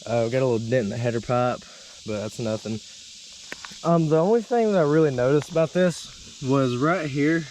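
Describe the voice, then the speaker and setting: A man with a slight cold and a hoarse voice, casually rambling through a vlog walkthrough with plenty of uh and um. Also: medium pitch, lively intonation, normal speed, darkish tone, slight background noise, low-quality recording. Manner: rambling, lots of uh and um. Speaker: dirt bike vlogger.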